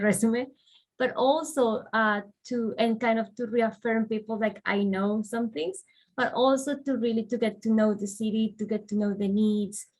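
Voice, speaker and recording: A woman from Colombia with a Colombian accent, a guest in an interview, explaining her work thoughtfully at length with soft fillers. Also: mid-range voice, low-quality recording. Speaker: guest, director of refugee and immigrant services. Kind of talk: conversational interview answers.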